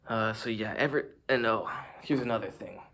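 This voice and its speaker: A man's voice: on the low side, fairly quick, vocal fry, fairly bright tone, a little hiss or hum. A man vlogging about fitness, talking to a camera in front of him in a rambling, self-mocking way as he shows off poses. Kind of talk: casual rambling monologue to camera.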